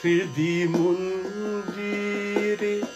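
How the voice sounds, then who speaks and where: A woman's voice: medium pitch, steady tone, extremely slow. A woman delivering devotional kirtan verse in a sung, lyrical style, with Indian classical music. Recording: long reverb, faint music behind.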